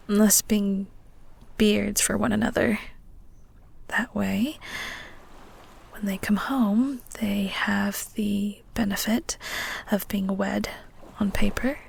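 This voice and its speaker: A woman playing an earnest, vulnerable, secretly lovestruck married woman of the 1940s in an intimate romantic audio roleplay, with breathy, halting, half-whispered delivery. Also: mid-range voice, heavily acted. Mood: soft, relieved.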